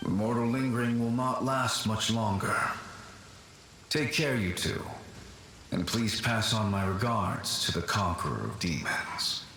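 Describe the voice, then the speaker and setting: A man playing the courteous, melancholy ghost of an ancient warrior in a video game, speaking formally and with gentle regret. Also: fairly low pitch. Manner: formal, measured, gently rueful. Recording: heavy echo with faint noise.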